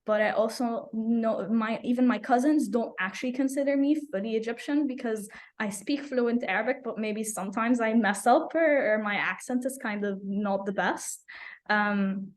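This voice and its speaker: A woman's voice: on the high side, moderate pace. A young woman who grew up in the UAE, giving earnest, personal interview answers as a guest activist, repeating phrases for emphasis. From Abu Dhabi, UAE. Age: young adult. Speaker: climate activist, podcast guest.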